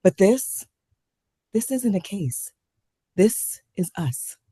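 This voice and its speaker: A woman plays a guarded, worn-down wife in a marital drama table read. Her voice is soft-edged and mellow at a middle pitch. The sound is dry, with little room. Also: very animated, slow pace, slightly rough, dry recording, heavily acted. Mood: hurt, accusing. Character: weary, guarded wife, a doctor in a failing marriage.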